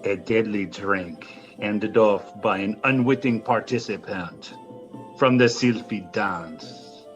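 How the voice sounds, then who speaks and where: A man's voice: fairly low pitch, slow, highs cut off, faint music behind. A man playing a gloating, fanatical poisoner of the French court in an audio play, grandiose and venomous. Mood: sly, calculating. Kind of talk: melodramatic audio drama monologue.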